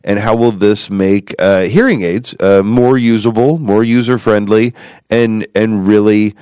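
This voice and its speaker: A man hosting a podcast in a relaxed, chatty way, with filler words and repeats. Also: fairly low pitch, measured pace, smooth, no room sound, studio quality. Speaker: podcast host.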